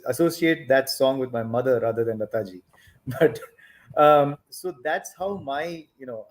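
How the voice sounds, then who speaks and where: A man from eastern India, raised with Tamil at home, joins a casual panel discussion. He speaks at a middle pitch in a reflective, gently self-mocking way. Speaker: panel guest.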